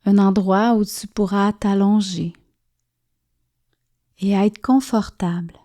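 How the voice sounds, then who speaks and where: Quebec lady doing guided meditation, really slow n soft, dark relaxed voice. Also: medium pitch. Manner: soft, soothing, gently pausing. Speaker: yoga nidra guide.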